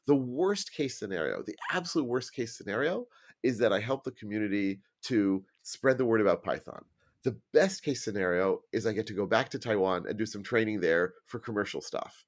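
An American man with a lowish voice, talking as a guest in a casual interview. He speaks emphatically and likes to repeat words for stress. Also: expressive, a little fast. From United States. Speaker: podcast guest, programming trainer.

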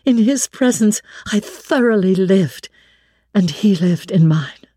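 A woman narrating classic literature in the first person, with measured, expressive, dramatic phrasing. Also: medium pitch, slightly rough, no room sound, clean studio sound.

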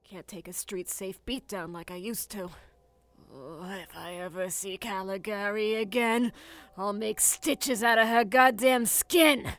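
A woman's voice: medium pitch, steady tone, unhurried, close to an everyday voice. A woman playing a tough, street-smart chemist stranded in a wasteland, muttering defiantly to herself in an audio drama. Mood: weary, muttering. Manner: muttering to self, gritty, defiant.

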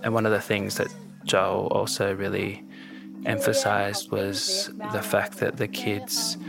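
A man, a journalist guest explaining a story in a measured, thoughtful podcast conversation. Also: on the low side, vocal fry, over loud music.

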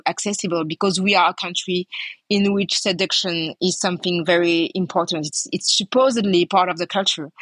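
A woman's voice: mid-range voice, slightly rough, no room sound. A woman from France with a French accent, a journalist answering interview questions in an earnest, step-by-step way. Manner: earnest, explanatory, builds points step by step.

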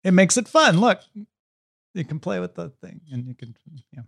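A man's voice: high voice, very animated, normal speed, vocal fry, a bit bright, dry recording, studio quality. A man co-hosting a podcast in casual, playful, bantering chat. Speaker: podcast co-host.

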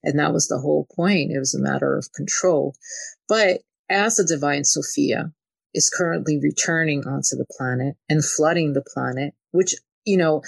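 A woman's voice: deep voice, gravelly, dry recording. A woman from Ukraine talking as a spiritual channeler and interview guest, often dropping in "you know" as she goes. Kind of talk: spiritual conversation.

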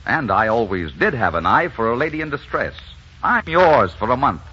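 A man's voice: medium pitch, sing-song, moderate pace, bright, forceful tone, a little hiss or hum. An actor playing a tough, ardent romantic hero who narrates his adventure in dramatic 1940s radio style. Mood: tender, low. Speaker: leading man and narrator. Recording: dull 1940s radio recording with music.

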